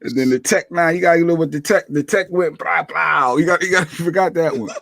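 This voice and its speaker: Easygoing male co-host, a touch high-pitched, quick to laugh and chime in. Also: vocal fry, a bit bright. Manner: laughs often, easygoing interjections. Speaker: radio show co-host. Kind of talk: casual chat.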